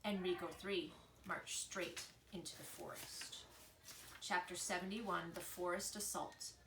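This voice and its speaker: A woman reading a children's book aloud in an expressive storytelling style. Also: lowish voice, a little slow. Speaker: reader, storyteller. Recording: echoey room with faint noise.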